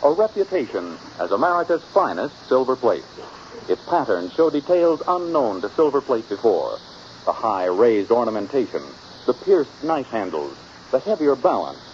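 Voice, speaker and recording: A man announcing in a polished, scripted 1940s radio style, with deliberate salesmanship. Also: medium pitch, sing-song, a little mellow. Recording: dull old radio recording, faint noise.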